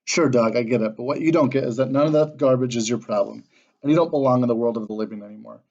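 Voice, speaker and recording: A man voicing a sharp-tongued, scornful dog spirit in a read-aloud story, needling with mocking questions. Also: mid-range voice, fast, natural voice. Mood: scolding. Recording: dull lo-fi sound.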